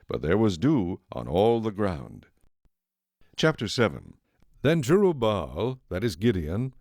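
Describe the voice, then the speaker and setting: A man narrating scripture from a script in a measured, formal manner. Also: fairly low pitch, a little mellow, no room sound, clean studio sound.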